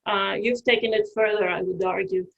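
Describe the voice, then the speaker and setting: A woman's voice: medium pitch, fairly even intonation, a little fast, creaky, muffled recording. A woman moderating a panel, putting questions hesitantly with many "uh"s.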